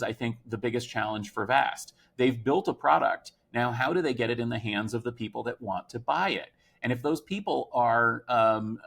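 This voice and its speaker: Creaky-voiced man giving halting commentary. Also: mid-range voice, a bit bright. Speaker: industry analyst and commentator. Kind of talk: unscripted commentary.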